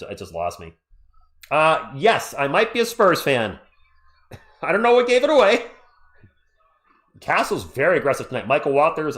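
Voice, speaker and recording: A man hosting a live stream, calling a basketball game play-by-play as an open fan of one team and thanking viewers in the chat. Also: medium pitch. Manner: excitable and partisan, reads viewer comments. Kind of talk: fan play-by-play commentary with chat shout-outs.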